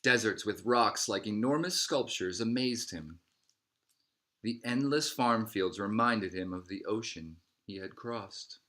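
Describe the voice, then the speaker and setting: Male storybook narrator, lower-pitched, scripted read-aloud delivery, measured phrasing with falling line endings. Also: pushed and bright. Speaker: storybook reader.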